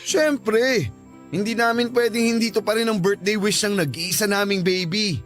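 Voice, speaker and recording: Playful, teasing, doting dad. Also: male voice, high-pitched, fairly even intonation, moderate pace, fairly bright tone, soft background music. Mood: cheerful, doting. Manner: teasing, affectionate. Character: playful, doting father who teases his daughter. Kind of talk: Filipino radio drama acting.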